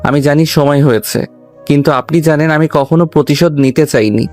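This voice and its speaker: An actor playing a proud, resolute young husband and son in a dramatized audio story, earnest and measured. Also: male voice, a little high, a little mellow, natural voice. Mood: quiet resolve. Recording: background music under the voice.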